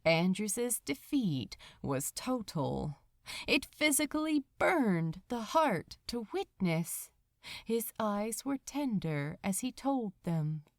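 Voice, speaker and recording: Female audiobook narrator, dramatic and unhurried, lively intonation, dry sound. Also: mid-range voice, no room sound. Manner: expressive, measured. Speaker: fan fiction narrator.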